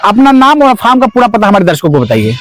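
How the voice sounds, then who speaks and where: High-voiced man presenting a farm video. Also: huge pitch swings, quick pace, a bit bright, soft background music. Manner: explanatory, repeats and sums up answers. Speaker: host of a farming video channel. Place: at a goat farm.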